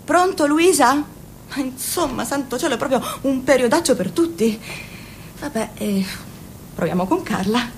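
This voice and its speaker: A woman's voice: high voice, animated, normal speed, a little hiss or hum. An actress playing Amanda, a fussy, chatty mother and saleswoman who puts on a cheerful manner, in a radio drama. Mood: phone chatter. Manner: chatty, fussing, falsely cheerful on the phone.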